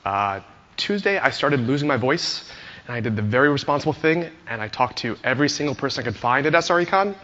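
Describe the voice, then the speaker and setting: Hoarse man, conference talk, bright tense voice, dull muffled sound. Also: medium pitch, expressive, fast talker, big-room sound. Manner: friendly, storytelling with frequent "uh" fillers. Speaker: conference speaker, engineer. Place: conference hall with audience.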